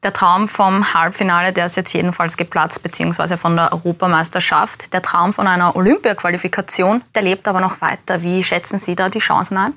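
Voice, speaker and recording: A woman's voice: fairly low pitch, steady tone, fairly quick, few pauses, sharp tone. Woman, professional TV news interviewer. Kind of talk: broadcast interview. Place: TV studio.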